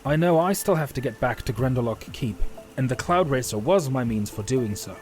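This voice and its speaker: A man's voice: mid-range voice, expressive, a little fast, soft background music, voice pushed up, close to an everyday voice. A man voicing an earnest, weary half-elf quest leader with a grave, serious manner in a fantasy audio story.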